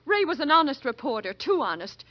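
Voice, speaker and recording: A woman's voice: sits high, sing-song, a little fast, no room sound. An actress playing a defensive, indignant, pleading newspaperwoman in a 1940s radio drama. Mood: bitter. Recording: dull, dry vintage 1940s radio.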